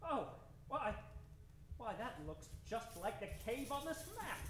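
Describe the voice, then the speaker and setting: An actor playing an eager, fearless doctor adventurer in old-time radio style, with theatrical, old-fashioned diction. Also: male voice, very high voice, expressive, unhurried, background noise. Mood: excited alarm. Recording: roomy stage recording, music underneath.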